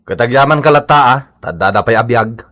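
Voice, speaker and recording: A man's voice: mid-range voice, a little fast, a bit bright, close to an everyday voice. A man playing an irritable, defensive Ilocano husband in a radio comedy drama, blunt and grumbling. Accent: Ilocano Filipino.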